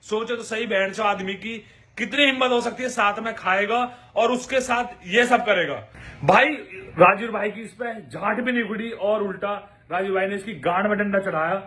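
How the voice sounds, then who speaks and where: A man's voice: high voice, normal speed, bright, forceful tone. A man giving informal, accusatory commentary to viewers in crude slang. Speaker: commentator on an online feud.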